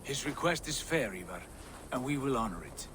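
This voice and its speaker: A man plays a diplomatic Viking clan leader in a video game cutscene. His medium-pitched voice is calm and authoritative, over clear background noise. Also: close to an everyday voice. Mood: measured. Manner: measured, authoritative, composed.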